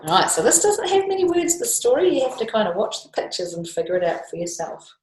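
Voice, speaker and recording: A woman with a New Zealand accent talks children through a picture book as a teacher, playful and animated, often asking them questions. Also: fairly high pitch, a little fast, slight background noise. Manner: often chuckles as she talks. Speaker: school teacher reading picture books to children. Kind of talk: read-aloud storytime, talking children through a picture book. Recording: echoey, roomy home-style recording.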